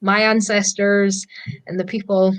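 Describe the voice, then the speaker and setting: A woman's voice: mid-range voice, little pitch movement, measured pace. Scottish woman, native Gaelic speaker, cheerful guest, laughs easily, keen to explain words. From Scotland. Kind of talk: casual video call chat.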